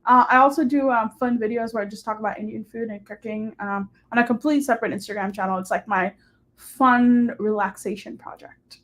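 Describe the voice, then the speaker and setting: A woman's voice: on the high side, fairly even intonation, a little fast. A young adult woman, a guest on a live-streamed interview, talking casually and upbeat with many "like" and "um" fillers. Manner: chatty, upbeat, lots of "like" and "um" fillers. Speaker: guest, short-term rental host and hotel manager.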